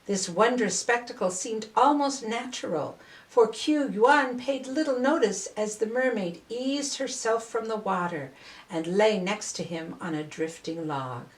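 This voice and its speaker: An older woman narrates a storybook in a mid-pitched voice. She takes her time, phrasing each part with deliberate care. The room adds a clear echo around her words. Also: recorded from a distance. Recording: roomy, echoing voice recording.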